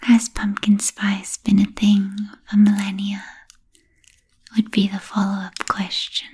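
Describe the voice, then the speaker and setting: Woman as a softly whispering ancient vampire. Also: medium pitch, completely flat delivery. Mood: gentle, musing. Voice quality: breathy, unvoiced whisper. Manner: slow, halting, formal and deliberate phrasing. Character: genteel ancient vampire, languid, soothing, politely predatory. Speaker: ASMR performer. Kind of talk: whispered ASMR roleplay.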